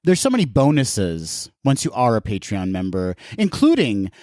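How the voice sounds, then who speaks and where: A man with a nasal, stuffed-up voice co-hosts a podcast in a playful, joking way. His pitch swings up and down a lot as he talks. The sound is close and dry, with no room in it. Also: huge pitch swings, moderate pace, darkish tone, no room sound. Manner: playful, jokey. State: congested with allergies, nasal. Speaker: podcast co-host. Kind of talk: casual chat.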